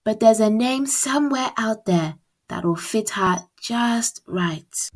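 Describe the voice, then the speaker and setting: A woman voicing a gentle, patient mother in a storybook, soft and reassuring. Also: fairly high pitch, lively intonation, takes it slowly, exaggerated voice. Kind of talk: storybook character voice.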